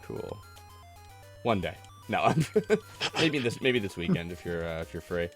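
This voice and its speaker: A man's voice: low-pitched, lively intonation, unhurried. A man hosting a gaming livestream, chatting casually and rambling with callers in a geeky, self-deprecating way. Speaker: host of a gaming livestream podcast taking callers. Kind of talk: casual chat while playing a game. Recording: game music behind the voice. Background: retro video game music.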